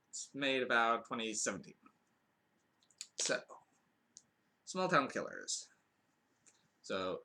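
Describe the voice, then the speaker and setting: A man's voice: mid-range voice, bright, forceful tone. A man hosting a podcast, giving a casual, rambling film review full of fillers and restarts, with dry asides.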